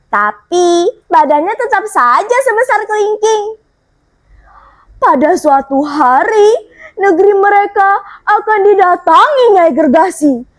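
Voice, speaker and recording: Female storyteller, very high pitch, slow unhurried pace, dramatic expressive folktale narration.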